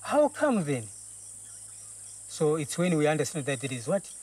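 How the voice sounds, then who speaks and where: A man from Zambia answering questions outdoors, mostly in quiet, short replies. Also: a little high, big pitch swings, normal speed, breathy edge. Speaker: detained poacher. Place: outdoors in the bush. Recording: distant outdoor mic, faint insect noise.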